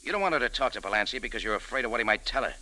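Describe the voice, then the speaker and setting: Fast, hard-boiled American radio sleuth. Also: male voice, mid-range voice, lively intonation, bright, forceful tone, no room sound, low-quality recording. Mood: hard, accusing. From United States. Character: tough American expatriate café owner and sleuth, blunt, sardonic. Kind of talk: old-time radio detective narration and acting. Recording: old radio drama, faint music behind.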